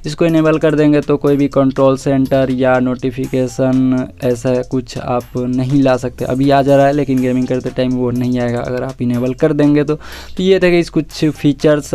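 A man's voice: mid-range voice, level tone, moderate pace, dark tone, smooth, soft background music. A man giving a casual phone feature walkthrough, mixing English words into informal speech. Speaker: tech reviewer.

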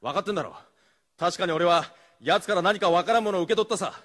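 An actor playing a tough, defiant teenage gang leader in a Japanese radio drama, with rough, slangy speech. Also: male voice, sits high, fast talker, fairly bright tone. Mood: defiant, angry.

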